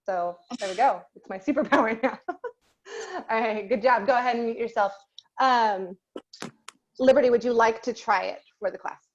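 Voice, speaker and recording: A woman's voice: on the high side, moderate pace. A young adult woman teaching an online singing class to children, chatty and encouraging with many fillers, heard over a video call. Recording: dull lo-fi video call audio.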